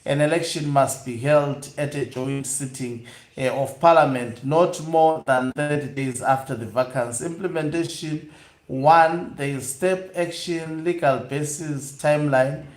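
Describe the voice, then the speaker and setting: A man hosting an online news broadcast, reading aloud and commenting live in a halting, hesitant way with drawn-out pauses. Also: on the high side. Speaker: online news channel host. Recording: echoey room.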